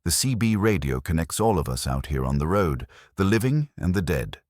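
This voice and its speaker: Male horror narrator, extremely low voice with lively intonation, dramatic and suspenseful scripted storytelling. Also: normal speed, no room sound, clean studio sound. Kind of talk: scripted first-person narration of trucker horror tales.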